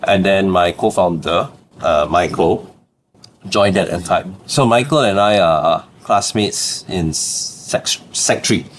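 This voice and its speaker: A man's voice: low voice, slow, slight background noise. A young adult man from Singapore with a Singaporean accent, a startup founder chatting as a podcast guest, laughing often and dropping "lah" at the ends of phrases. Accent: Singaporean English. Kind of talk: casual interview storytelling.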